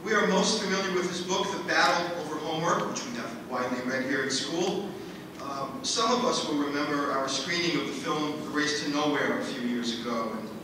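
Higher-voiced man at an echoey podium. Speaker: school host introducing a speaker. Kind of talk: formal prepared introduction. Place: large open school hall at podium. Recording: echoey hall, distant, background noise.

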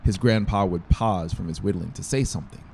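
Low, gravelly male audiobook narrator. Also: fairly quick, darkish tone, slight background noise. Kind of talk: scripted fiction narration. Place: small brick-walled room, indoors. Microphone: close dynamic mic on boom stand. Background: faint music.

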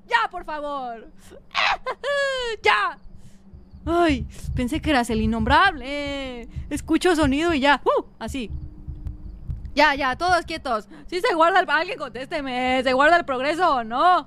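A woman's voice: high-pitched, animated, slow pace, fairly bright tone, slight background noise. A woman with a Mexican accent commentating a horror game as a streamer, dramatic and teasing, sometimes breaking into song. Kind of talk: gaming livestream commentary.

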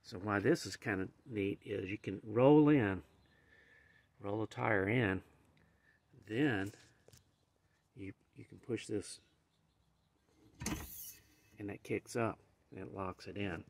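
A man's voice: lowish voice, takes it slowly, creaky, pauses often, no room sound. An older man casually explaining as he demonstrates gear in a garage, rambling as he goes. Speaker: channel host. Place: garage with van, indoors and outdoors.

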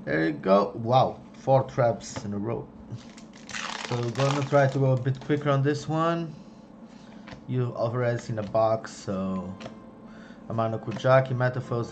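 A young man giving casual running commentary as he opens trading card packs, chatty, excited on big pulls and low on misses, recorded at home on a desk mic. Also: slight background noise. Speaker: card-opening youtuber. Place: home room, indoors.

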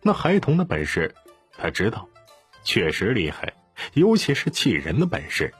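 Male audiobook narrator, low-pitched and slightly husky, scripted storytelling over faint music. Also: huge pitch swings, moderate pace.

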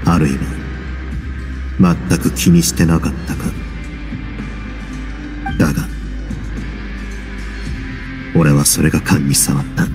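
A man playing a composed, hardened young man with a grim past in a game, speaking in a measured, matter-of-fact way. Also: a bass voice, flat delivery, over music. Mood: grim, restrained. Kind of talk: dramatic game dialogue, recounting his past.

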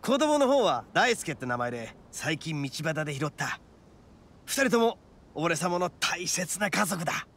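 Casting: boastful young oni, anime game. High-pitched, very animated, rough and cocky. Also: male voice, slight background noise. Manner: cocky, rough slangy speech. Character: brash, boastful young oni man, hot-headed but soft-hearted.

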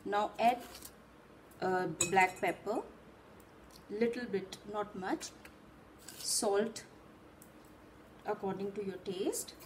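A woman's voice: medium pitch, takes it slowly, long pauses, a little hiss or hum. A woman in her thirties, host of her channel, explaining a simple recipe step by step from a table in a small room. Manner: patient, step-by-step instruction. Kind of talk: cooking demonstration for parents.

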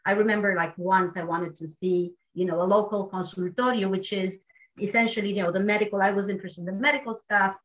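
Argentine-accented woman, animated video-call panelist. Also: medium pitch, fast talker. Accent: Argentine Spanish. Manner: animated, with frequent fillers and restarts. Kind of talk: online panel discussion. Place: home office with wall maps. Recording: slightly muffled video call audio.

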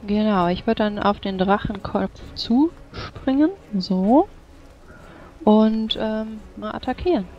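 A woman talking casually and a little haltingly as a player in a tabletop game, on a dull lo-fi recording. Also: medium pitch, expressive. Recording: dull, lo-fi voice chat sound. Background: background music.

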